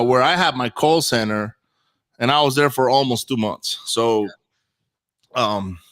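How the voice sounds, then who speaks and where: A man's voice: mid-range voice, a bit bright. A man from Venezuela whose first language is Spanish, chatting informally and telling personal stories as an interview guest. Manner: informal, says man and brother often. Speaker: interview guest, real estate investor. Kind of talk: casual personal storytelling.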